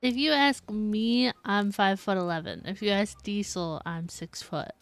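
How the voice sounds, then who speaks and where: Woman streamer, casual chat, giggly, lots of "like", mid-pitched voice, faint game music behind. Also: unhurried.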